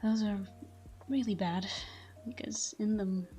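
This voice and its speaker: Woman acting a soft, mellow vampire lover. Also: mid-range voice, takes it slowly, faint music behind, lightly acted. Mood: tender, haunted. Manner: soft, tender, breathy murmur slipping into whispers. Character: caring, tormented female vampire lover watching her sleeping partner. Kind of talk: intimate scripted ASMR roleplay monologue.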